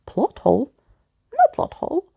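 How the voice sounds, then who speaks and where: A woman voicing a childish, defiant female imaginary creature with a shrill, wailing voice and broken childlike grammar. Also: moderate pace, phone-quality sound, natural voice. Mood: shrieking denial. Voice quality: shrill, squealing. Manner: broken childlike grammar, third-person self-reference.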